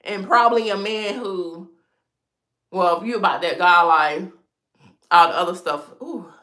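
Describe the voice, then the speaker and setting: Thirtysomething woman w a stuffed up nose, talking straight to her viewers, laughy and real, kinda sharp bright voice. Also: fairly low pitch, a little slow. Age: 30s. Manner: chatty, frank, laughs often, fills with "you know". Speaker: lifestyle vlogger. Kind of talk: casual chat answering viewer comments.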